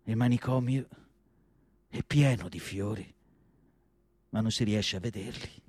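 A man reciting literary prose as a radio theatre monologue, solemn and declamatory, with deliberate phrasing and dramatic pauses. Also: on the low side, darkish tone, breathy edge.

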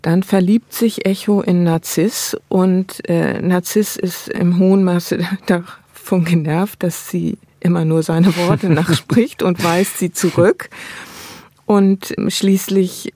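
Female scholar as interview guest, low soft-edged voice, reflective and explanatory, very dry close sound. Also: fairly even intonation, slow, no echo. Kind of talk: academic interview, explaining ideas.

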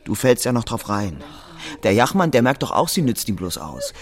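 Casting: man playing anxious young husband, easily agitated, animated pitch, radio play. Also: normal speed, lightly acted. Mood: bitter. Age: young adult. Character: anxious, impulsive young husband, hard-up clerk. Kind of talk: German radio drama acting.

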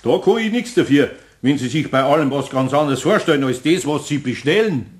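Man as grumbly but kind older bavarian carpenter, lively up and down voice, homely, kids audio play. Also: a little high, a little fast, lightly acted. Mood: exasperated. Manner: homely, plain-spoken, grumbling. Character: good-natured, grumbly older master carpenter. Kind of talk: German children's radio play.

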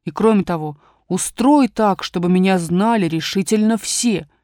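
A woman's voice: medium pitch, normal speed, warm tone, no echo, clean studio sound, natural voice. A woman voicing a proud, vain little male kangaroo who pleads and demands, in a storybook reading. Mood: earnest, demanding. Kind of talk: storybook character voice.

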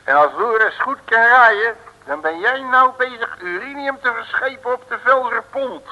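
Cocky blustering detective, high-pitched, piercing, cheeky, old reverberant radio recording. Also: male voice, expressive, measured pace, bright, forceful tone, lo-fi sound, exaggerated voice. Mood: cheeky, confronting. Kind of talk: 1950s-60s Dutch radio play acting.

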